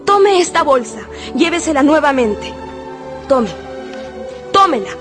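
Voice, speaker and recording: A woman's voice: high voice, expressive, measured pace, fairly bright tone, faint music behind. An actress playing a proud young aristocratic lady in a radio drama, formal and imploring. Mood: urgent, torn. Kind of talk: Spanish-language radio drama acting.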